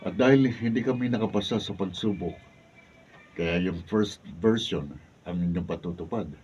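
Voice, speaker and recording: Actor as repentant son, lowish voice, muffled and distant. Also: male voice, moderate pace, darkish tone, a little hiss or hum, natural voice. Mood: contrite. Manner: contrite, apologetic.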